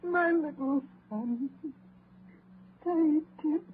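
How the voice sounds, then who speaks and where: Sad tender mom, slowish and high, old crackly radio play. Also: female voice. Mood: grieving. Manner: tearful, tender, drawn-out. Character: grieving, tender, weary mother. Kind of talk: old-time radio drama acting. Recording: muffled, noisy vintage radio broadcast.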